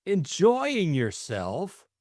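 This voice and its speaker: A man's voice: a little high, huge pitch swings, drawn-out words, a little mellow, dry recording, clean studio sound, pitched above the performer's own voice, heavily acted. A man voicing a flustered, lovestruck young Englishman, stammering and eager. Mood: astonished.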